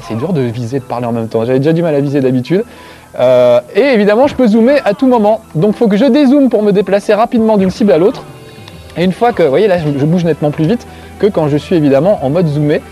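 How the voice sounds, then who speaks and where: A man's voice: high-pitched, animated, fast talker, mellow, faint music behind. A man with a Corsican accent, hosting a video game show and commenting as he plays, joking and mocking himself. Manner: joking, self-mocking, chatty. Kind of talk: casual gameplay commentary to camera. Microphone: close framing, tight on face.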